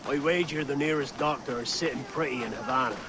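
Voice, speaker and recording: An actor voicing a fiery, defiant pirate leader, scornful and impassioned, in a video game cutscene. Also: male voice, medium pitch, fast, background noise, natural voice.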